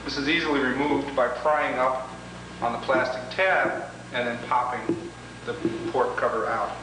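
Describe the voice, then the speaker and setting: A man's voice: mid-range voice, normal speed. A man presenting an instructional product demonstration, explaining each step methodically in formal phrasing. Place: indoors, in a room with equipment. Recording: echoey room, clearly noisy old home video.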